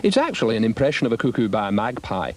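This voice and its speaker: A man's voice: fairly low pitch, sing-song, racing, heavy background noise. Man as a swooping, officious storybook bird trainer. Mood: brisk, instructing. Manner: chatty, pompous, encouraging schoolmaster. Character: brisk, officious male trainer of birds. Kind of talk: children's storybook character voice.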